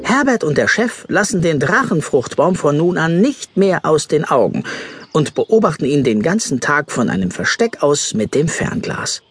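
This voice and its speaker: Male children's storyteller: expressive, lively melody, slightly high pitch. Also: moderate pace, soft background music. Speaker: narrator. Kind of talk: scripted children's story narration.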